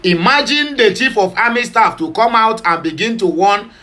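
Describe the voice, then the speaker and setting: A man's voice: sits high, moderate pace, bright, forceful tone. A man from southeastern Nigeria with a Nigerian Pidgin accent, giving passionate, opinionated news commentary. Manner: passionate, switching between Pidgin, English and Igbo.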